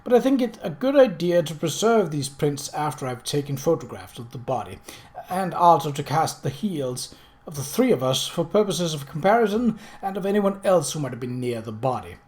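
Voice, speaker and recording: A man voicing a composed, gently teasing gentleman investigator in an audiobook, measured and matter-of-fact. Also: on the high side, big pitch swings.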